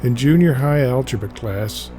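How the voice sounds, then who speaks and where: A man's voice: medium pitch, animated, normal speed, mellow, soft background music. A man giving a scripted narration, reading a memoir-style comic aloud.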